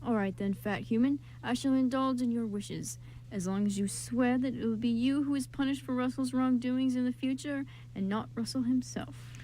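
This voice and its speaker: A woman portrays an imperious council master of magic users in an amateur radio drama. The voice is fairly high, condescending and domineering, with faint hum behind it. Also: fairly even intonation, moderate pace, warm tone, close to an everyday voice, low-quality recording. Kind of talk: amateur radio play script reading.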